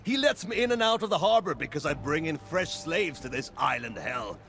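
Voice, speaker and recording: High, gravelly swaggering pirate captain. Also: male voice, expressive, moderate pace, a bit bright. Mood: contemptuous, grumbling. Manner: swaggering, theatrical, contemptuous. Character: boastful, menacing male pirate slaver captain. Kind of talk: fantasy video game voice acting. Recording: game music behind.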